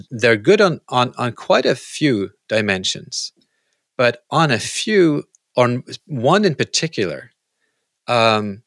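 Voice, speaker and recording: A man's voice: medium pitch, a bit bright, dry recording, studio quality. A man from Norway interviewing someone in a conversational way, circling around his phrasing with some hesitation.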